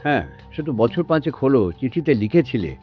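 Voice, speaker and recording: A caring elderly husband and father from a radio drama, played by a man. He speaks in a medium-pitched voice, gentle and hesitant, often repeating his words. The recording is a little dull, with music underneath. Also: expressive, fast, close to an everyday voice. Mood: courteous, wistful. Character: patient, caring elderly father looking after ailing wife. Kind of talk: Bengali radio drama acting. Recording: dull lo-fi audio with music behind.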